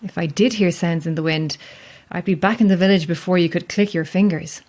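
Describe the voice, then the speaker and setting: Dry, low-voiced woman lighthouse keeper played by an actress. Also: brisk, darkish tone, lightly acted. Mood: wry, curious. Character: guarded, sceptical lone lighthouse keeper, woman, wryly amused. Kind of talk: naturalistic audio horror drama acting.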